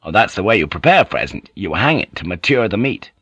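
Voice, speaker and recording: A man's voice: lowish voice, sing-song, fairly quick, a bit bright, husky, no room sound, full character voice. A man voicing a blunt, opinionated, irritable European man of the sea in an audiobook. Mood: matter-of-fact. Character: blunt, irritable European seafarer living in Japan.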